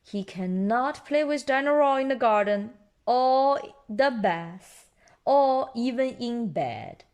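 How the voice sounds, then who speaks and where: A woman reading a children's story aloud in an animated style, explaining simply as she goes. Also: fairly high pitch, slow pace.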